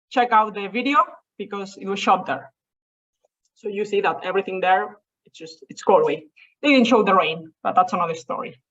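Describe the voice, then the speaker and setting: A woman's voice: mid-range voice, fairly bright tone. Young Spanish-accented woman giving a talk. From Spain. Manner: chatty, informal storytelling. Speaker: seminar speaker. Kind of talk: academic talk over a video call. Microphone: video call. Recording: muffled video call audio.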